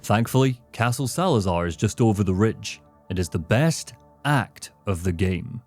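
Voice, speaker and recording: Animated male video essayist over soft music. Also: fairly low pitch, moderate pace. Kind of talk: scripted video essay narration. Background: faint background music.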